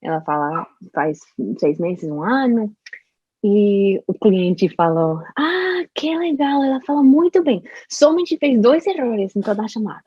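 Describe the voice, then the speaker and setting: A young woman, a native Spanish speaker, talking in an interview about learning Portuguese, with careful, halting speech and restarts. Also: medium pitch, animated, warm tone, slightly rough, dry recording, highs cut off. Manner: slow, careful speech with frequent restarts and laughs. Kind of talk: casual conversational interview.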